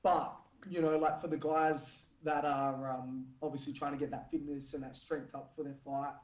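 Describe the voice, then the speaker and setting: Male fight coach, hoarse and croaky, stop-start casual delivery, fairly high voice, echoey recording. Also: level tone, a little mellow. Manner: halting, lots of fillers and restarts. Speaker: fight gym trainer. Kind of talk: casual podcast chat. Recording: roomy echo.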